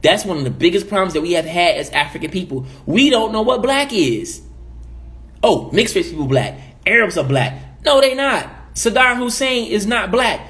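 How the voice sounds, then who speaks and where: Brief: emphatic male vlogger from the Caribbean, moderately high voice, preachy commentary with repeated points. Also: very animated, normal speed, a bit bright.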